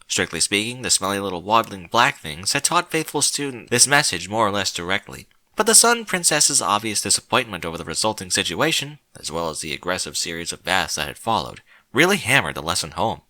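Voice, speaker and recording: A man reading a short story aloud in an expressive, theatrical narrator's style with wry, emphatic phrasing. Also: lowish voice, dry recording.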